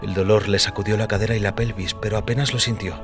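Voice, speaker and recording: A man's voice: on the low side, steady tone, quick pace. A man reading scripted dramatic fiction as the narrator of an audio story. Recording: music under the voice. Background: background music.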